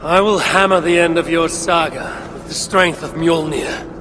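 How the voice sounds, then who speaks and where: Casting: man as commanding Norse deity, fairly high male register, grave and measured, game cutscene with long reverb. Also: steady tone, lightly acted. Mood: firm. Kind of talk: video game cutscene acting. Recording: long hall reverb, clear background noise.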